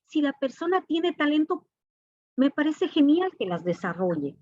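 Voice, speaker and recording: A woman's voice: sits high, sing-song, normal speed, mellow. A woman from Ecuador, giving earnest, formal, explanatory answers as an interview guest. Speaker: interview guest, artist and professor. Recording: dull, echoey lo-fi call audio.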